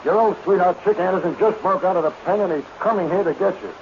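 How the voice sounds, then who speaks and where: Suspicious Bowery tough guy, high-voiced, slangy American, 1930s radio comedy, dull vintage audio. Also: quick pace, creaky. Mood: urgent, warning. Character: tough, suspicious Bowery saloon keeper and gangster. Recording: noisy, dull old radio recording.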